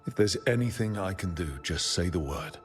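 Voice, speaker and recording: A supportive adventuring hero in a video game. His voice is very low and smooth in delivery, with soft music underneath. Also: expressive, moderate pace.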